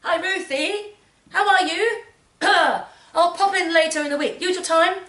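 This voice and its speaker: A woman voicing a loud, chummy, caring brother leaving a voicemail in a live story reading. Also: very high-pitched, a little slow, pitched above the performer's own voice, heavily acted. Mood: loud, breezy. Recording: roomy, distant mic, background noise.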